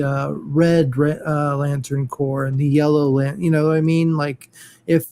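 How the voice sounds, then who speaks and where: A man's voice: fairly high pitch, mellow. A man chatting casually about comic-book shows, hesitant and full of fillers and restarts. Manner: halting, many fillers and restarts. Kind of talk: casual geeky chat.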